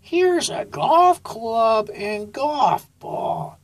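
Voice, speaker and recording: A woman voicing a patient, calm turtle golf coach in a storybook, drawing each instruction right out. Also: on the high side, voice pushed up, heavily acted. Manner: very drawn-out, instructing.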